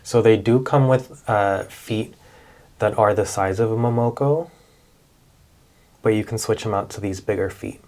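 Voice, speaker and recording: A man, chatting casually in a relaxed vlog about his doll collection, with many fillers and self-corrections. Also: lowish voice, measured pace, long pauses. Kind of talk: casual show-and-tell vlog.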